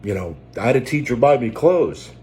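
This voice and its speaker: A man in his forties from Maine with a Maine accent, telling his life story unscripted, halting and close to tears. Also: mid-range voice, big pitch swings, darkish tone, soft background music. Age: 40s. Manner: halting, many fillers and restarts. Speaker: trucker and preacher. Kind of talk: unscripted personal testimony.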